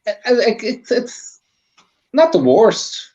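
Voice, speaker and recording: Animated man with swooping pitch. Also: takes it slowly. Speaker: panel guest. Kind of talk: casual film discussion. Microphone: online group call. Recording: dull online-call audio.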